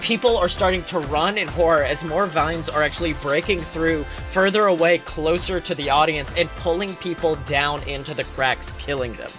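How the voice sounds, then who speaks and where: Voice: mid-range voice, normal speed, hardly any pauses, over music. A game master narrating a tabletop adventure in an informal, improvised way, swearing casually. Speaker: game master and podcast host.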